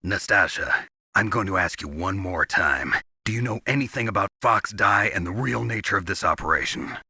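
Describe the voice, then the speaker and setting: An actor playing a gruff, cynical soldier in a video game, speaking tersely and sardonically in a raspy growl. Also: male voice, animated, normal speed, bright, forceful tone, no room sound, clean studio sound, full character voice. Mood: suspicious, sardonic. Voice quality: raspy, gravelly low growl. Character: gruff, cynical male soldier on a covert mission.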